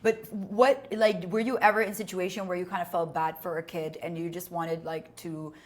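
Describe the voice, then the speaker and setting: A woman co-hosting a casual chat, asking questions and backing up her partner with quick agreements. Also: lowish voice, fairly even intonation. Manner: supportive, chiming in with short agreements and questions. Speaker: podcast co-host. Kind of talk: casual conversation.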